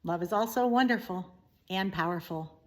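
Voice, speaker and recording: A woman narrating a children's story expressively, with deliberate, dramatic emphasis. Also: on the low side. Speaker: story reader, teacher.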